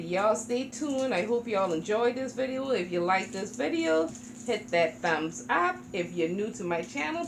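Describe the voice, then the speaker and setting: A woman's voice: medium pitch, vocal fry. A woman, from South Carolina, chatting casually to camera about her reborn dolls at home. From Charleston, South Carolina. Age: 40s. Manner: chatty, rambling, informal. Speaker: reborn doll vlogger. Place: home room. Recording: echoey room with background noise.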